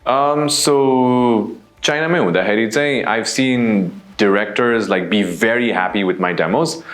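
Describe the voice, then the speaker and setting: A young man from Nepal with a baritone voice, a voice actor answering interview questions in a relaxed, wry way. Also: moderate pace. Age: young adult.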